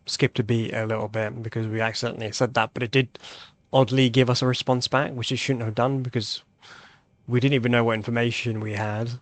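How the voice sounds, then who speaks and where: A man's voice: mid-range voice, no echo. A man hosting a flight simulator video, thinking aloud in casual tutorial commentary and reading back radio calls. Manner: thinks aloud while demonstrating.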